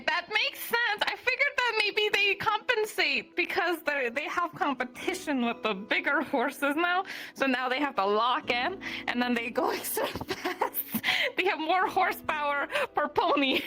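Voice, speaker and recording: A woman's voice: high-pitched, animated, moderate pace, hardly any pauses, bright, forceful tone, soft background music. A woman roleplaying a chatty, silly young fantasy adventurer, talking in a casual, slangy, rambling way. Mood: playful, giggly. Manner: rambling, giggly, modern slangy speech. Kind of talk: improvised in-character roleplay, casual chat.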